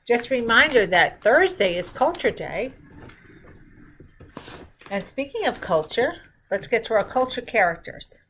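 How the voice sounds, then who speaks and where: A middle-aged woman reads the school's morning announcements to the children in a cheerful, encouraging way. Her voice is bright and she talks slowly, recorded indoors in a school room by a fixed camera while she sits. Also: fairly high pitch, big pitch swings, a little hiss or hum. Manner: cheerful, addresses children, reads clues aloud.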